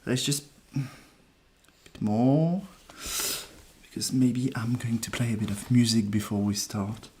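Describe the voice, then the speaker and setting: A man hosting a casual live numerology stream, soft-spoken and hesitant as he thinks aloud. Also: medium pitch, a little slow, slight background noise. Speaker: numerologist host.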